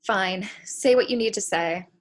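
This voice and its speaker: A woman's voice: mid-range voice, measured pace, lightly acted. A woman playing a wary, blunt, indignant schoolgirl in a comic stage play reading. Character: skeptical, indignant schoolgirl meeting her future self. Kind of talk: stage comedy acting in a table read.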